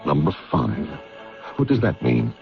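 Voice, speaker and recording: Steady investigator, vintage radio drama: deep voice, animated pitch, practical manner, muffled broadcast sound. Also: male voice, a little slow, gravelly, soft background music, exaggerated voice. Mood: curious, thoughtful.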